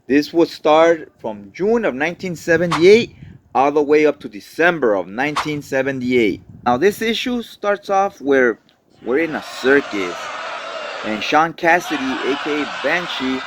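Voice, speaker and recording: A man's voice: medium pitch, a little hiss or hum. A man hosting a recap show, retelling comic book stories in an informal, slangy, conversational way with rhetorical asides to the listener.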